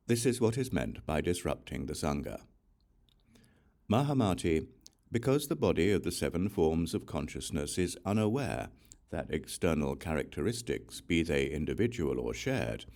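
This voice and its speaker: A man reading the part of a serene, authoritative Buddha who teaches solemnly and deliberately in a scripture audiobook. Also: very deep voice, darkish tone, full character voice.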